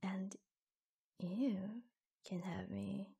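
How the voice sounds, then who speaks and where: Flirtatious secret office lover, played by a woman: teasing, intimate ASMR roleplay, husky half-whispers with vocal fry. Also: medium pitch, fairly even intonation, mellow, heavily acted. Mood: sultry, hushed. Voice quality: breathy, husky, often dropping to a whisper. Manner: slow, coy, teasing, giggly. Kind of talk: ASMR roleplay, intimate romantic audio drama.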